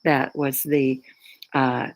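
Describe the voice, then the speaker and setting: A woman from Poland, whose first language is Polish, talking casually about her crystals as a spiritual teacher, pausing often for words. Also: rumbling low, flat delivery. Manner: unhurried, searching for words with many fillers. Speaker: spiritual teacher and Reiki practitioner.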